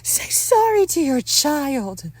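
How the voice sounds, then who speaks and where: A woman's voice: high-pitched, lively intonation, normal speed, warm tone, voice pushed up. A woman voicing an anxious, doting mother who is sugary, controlling and insistent in a dramatic script reading. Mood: stern. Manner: doting, insistent, emotionally intense.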